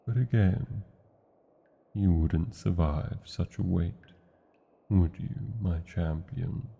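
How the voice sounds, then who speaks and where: A British man voicing a huge, ancient, proud yet lovesick dragon in a romantic fantasy roleplay, with a gravelly, husky voice and archaic, theatrical phrasing. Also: low-pitched, narrow, tinny audio, full character voice. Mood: wistful, grand. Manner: archaic, grandiose phrasing with lingering pauses.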